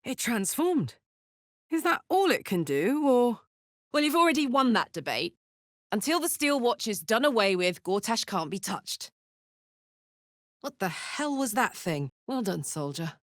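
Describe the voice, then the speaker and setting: Brash fiery warrior woman, unhurried and expressive. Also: a little high, dry recording, clean studio sound. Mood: casual, ready. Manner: blunt, informal, emotionally open soldier's talk. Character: brash, big-hearted tiefling warrior woman, battle-hardened, fiery. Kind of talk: video game character voice acting.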